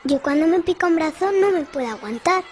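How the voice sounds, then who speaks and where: A woman's voice: very high-pitched, animated, a little fast, a little hiss or hum. A woman playing a chatty, imaginative, kind-hearted little schoolgirl in a cartoon, with a Castilian Spanish accent and an eager, playful manner. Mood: curious, friendly. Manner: playful, bubbly, talking in eager questions. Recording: loud music behind the voice.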